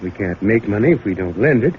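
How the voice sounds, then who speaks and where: A man's voice: lowish voice, lively intonation, fast, close to an everyday voice. Man doing worried older american banker guy, 1940s radio drama, businesslike, thin phone-like sound. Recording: tinny, narrow old radio recording, faint noise.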